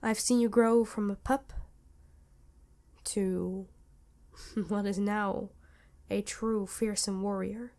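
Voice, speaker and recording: A woman performs a centuries-old vampire queen with a soft, mellow tone. She is regal yet motherly, protective and worried. Her ASMR roleplay speech is formal and tender, set out in measured phrases with pauses. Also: mid-range voice, fairly even intonation. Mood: proud, tender.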